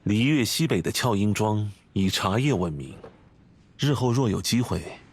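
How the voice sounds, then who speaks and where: Video game gentleman: cultured, composed connoisseur, deep-leaning and husky, formal, courteous, instructive. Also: animated, moderate pace. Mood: reassuring, measured. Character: refined, composed, scholarly gentleman and tea connoisseur. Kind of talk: video game character acting.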